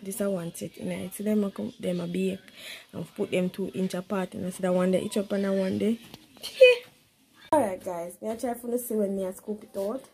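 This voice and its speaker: A woman's voice: medium pitch, fairly even intonation, normal speed, gravelly, a little mellow. A woman with a Jamaican accent chatting informally as she vlogs about cooking at home, slipping into Patois. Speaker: home vlogger. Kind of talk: casual cooking vlog chat. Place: home kitchen, indoors. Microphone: handheld phone behind the camera. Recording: noisy handheld home recording with clicks.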